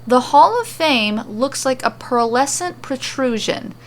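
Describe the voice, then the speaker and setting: A woman's voice: high-pitched, expressive, a little slow. A woman hosting and reading aloud her own podcast-style fantasy fiction. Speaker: host and story reader. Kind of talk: narrating homemade fantasy fiction.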